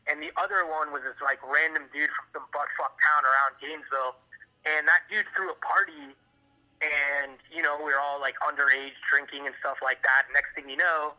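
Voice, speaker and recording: Very bright-voiced American guy over the phone. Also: a little high, steady tone, normal speed. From Miami, USA. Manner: profane, slangy, rambling. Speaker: interview guest on a phone call. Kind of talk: casual chat, telling old stories. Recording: tinny phone line.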